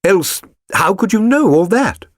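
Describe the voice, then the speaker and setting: Man voicing a flustered young London woman, animated and high. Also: measured pace, no echo, clean studio sound. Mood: startled. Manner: rambling, earnest, eager. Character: chatty, flustered young working-class London woman client.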